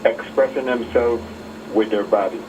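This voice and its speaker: A man phoning in to a talk show, speaking in a hesitant, halting way. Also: medium pitch, fairly even intonation. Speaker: phone-in caller. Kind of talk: casual, halting call-in remarks. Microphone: telephone. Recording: muffled, noisy, distant phone call.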